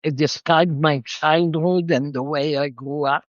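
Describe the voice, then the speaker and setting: A woman's voice: sits low, steady tone, measured pace, dry recording. An older woman from Israel, reflectively telling the story of her life as an interview guest, with a slightly muffled sound.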